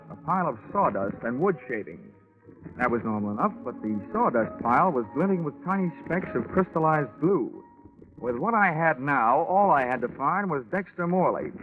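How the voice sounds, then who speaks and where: Tinny vintage-radio actor as a wisecracking American detective. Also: male voice, fairly high pitch, natural voice. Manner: wry, deadpan, hard-boiled wisecracks. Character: wisecracking tough American male insurance investigator narrating his case. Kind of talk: 1940s radio detective acting and narration. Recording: tinny band-limited 1940s radio recording.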